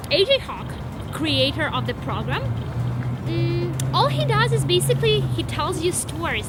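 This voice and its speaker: A woman's voice: sits high, very noisy. A young woman with a Ukrainian accent and Ukrainian as her first language, talking eagerly and emphatically to camera in a vlog, with some hesitations. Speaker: vlogger. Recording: background music under voice.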